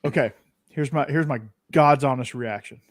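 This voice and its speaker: A man's voice: medium pitch, normal speed, no echo, clean studio sound. A man of forty co-hosting a podcast in casual chat, rambling and restarting his sentences. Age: 40s. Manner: rambling, self-interrupting, chatty. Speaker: podcast co-host.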